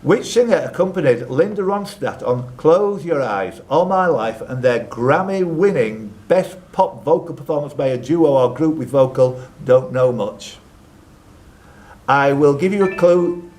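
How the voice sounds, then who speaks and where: A man's voice: on the high side, expressive, unhurried, a little mellow. A man hosting a live quiz, reading out questions with jokey, teasing asides to the contestants. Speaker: quiz host and question reader.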